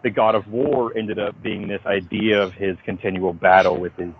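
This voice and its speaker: A man being interviewed by phone as a writer, talking in an informal, halting but emphatic storytelling way. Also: on the low side, fairly even intonation, creaky, a little hiss or hum. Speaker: interviewee, comic book writer. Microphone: phone call. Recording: dull lo-fi phone call.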